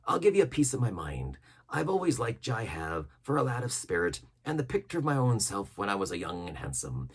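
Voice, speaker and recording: A sly, smooth-talking pirate captain acted out by a male reader for a storybook. His voice is husky and swings widely in pitch, with salty dialect, a sneering geniality and menace under the gracious words. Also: very animated, a little fast, exaggerated voice. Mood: persuasive.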